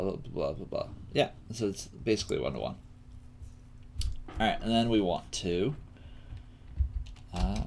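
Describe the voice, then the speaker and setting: A man hosting a live coding lesson, thinking aloud haltingly and often murmuring to himself as he works. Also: on the low side, creaky, darkish tone. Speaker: coding teacher and stream host. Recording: noisy room with keyboard clicks. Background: typing and clicking.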